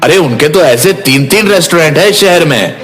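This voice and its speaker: A man playing a polite, deferential restaurant waiter in an animated moral story. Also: fairly high pitch, normal speed, soft background music. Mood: polite, amused.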